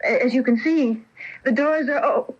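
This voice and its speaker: Impressionable young woman: earnest, hesitant, easily flustered, higher-pitched. Radio mystery, dull broadcast sound. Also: normal speed, breathy edge. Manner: hesitant, breaking off phrases. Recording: dull off-air AM radio recording.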